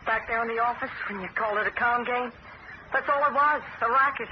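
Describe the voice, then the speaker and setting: An actress playing a theatrical swindler posing as a fortune-teller, grandiose and later blunt, in a 1970s radio drama. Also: female voice, on the high side, fairly quick, natural voice. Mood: streetwise. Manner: grandiose, then streetwise and blunt. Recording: hissy muffled old radio broadcast.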